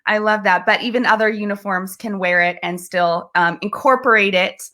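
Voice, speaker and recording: A woman's voice: mid-range voice, normal speed, sharp tone. A woman hosting a casual interview, gushing and conversational as she talks with her guest. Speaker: host interviewing an author. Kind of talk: casual chat.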